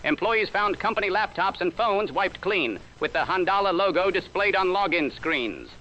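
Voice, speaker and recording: A man reporting as a Washington correspondent in a formal, measured 1930s radio newscast style. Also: high voice, lively intonation, sharp tone. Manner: formal, measured, old-time announcer cadence. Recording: lo-fi vintage radio sound with faint hiss.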